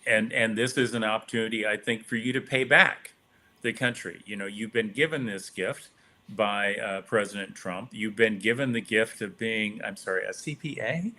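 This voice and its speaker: Male political panelist, unscripted, forceful bright tone, halting and rambling delivery. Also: lowish voice. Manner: halting, rambling, searching for words. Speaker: panelist on a political talk show.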